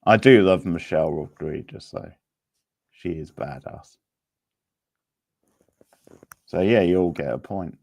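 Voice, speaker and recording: A man's voice: low voice, animated, normal speed, gravelly, a little mellow. A man casually hosting a live quiz, chatting with the players. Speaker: quiz host.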